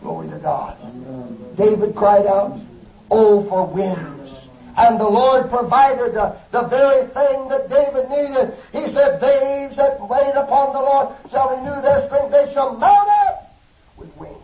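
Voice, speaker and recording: A man preaching a fervent Pentecostal sermon, building to shouts and calling out hallelujahs. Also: very high-pitched, measured pace. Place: church service. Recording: echoey dull lo-fi recording from a distance.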